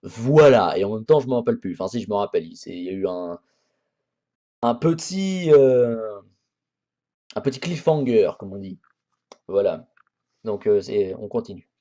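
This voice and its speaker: A man's voice: fairly low pitch, lively intonation, moderate pace, long pauses, dark tone, lo-fi sound. A man hosting a let's play, chatting casually over a horror visual novel with crude jokes and frequent restarts. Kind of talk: casual game commentary.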